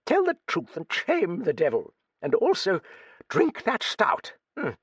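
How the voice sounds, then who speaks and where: A man's voice: sits high, huge pitch swings, a little slow, slightly rough, dry recording, clean studio sound, voice pushed up, full character voice. A man reading an audiobook, voicing a blunt, hearty old woman who swears freely and talks with cheeky bluster. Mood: bluff, gossipy. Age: older.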